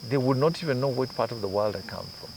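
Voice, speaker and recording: A man's voice: medium pitch, quick pace, noisy background. A man from Kenya, a journalist guest in an interview, who explains his points patiently with examples. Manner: thoughtful, explains with examples and asides. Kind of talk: conversational interview.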